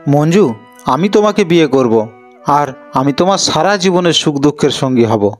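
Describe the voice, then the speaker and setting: A man's voice: a little high, animated, moderate pace, soft background music, lightly acted. A man playing an earnest, determined young village husband in a cartoon story, with dramatic delivery. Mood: earnest pledge.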